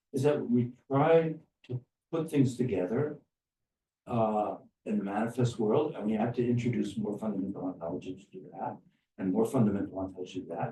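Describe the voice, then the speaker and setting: An older man giving informal, rambling remarks to a gathering, often halting and restarting his phrases. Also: medium pitch, mellow. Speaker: academic philosopher and speaker at a conference. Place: echoey room at a conference. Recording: roomy, distant microphone, faint background noise.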